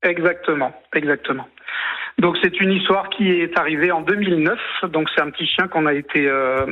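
Over a thin, narrowband phone line, a man in his late fifties speaks in a fairly high voice. He is from Martinique and French Guiana. He tells a personal story on a radio phone-in, earnest and emphatic, often repeating his phrases. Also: normal speed. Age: late 50s. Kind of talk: personal account on live radio. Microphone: telephone call.

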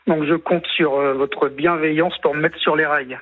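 A man's voice: on the high side, fairly even intonation, normal speed. A man in his fifties from Martinique and French Guiana, calling in to a radio show and talking earnestly and tenderly. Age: 50s. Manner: earnest, tender, rambling with restarts. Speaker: radio caller. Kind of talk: phone-in chat. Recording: tinny phone line.

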